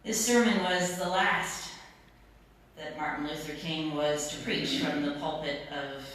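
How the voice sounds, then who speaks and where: A woman pastor giving a sermon in a church, phrasing it in a measured way with deliberate repetition. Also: fairly low pitch, fairly bright tone. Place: church sanctuary. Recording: echoey church, distant mic, faint noise.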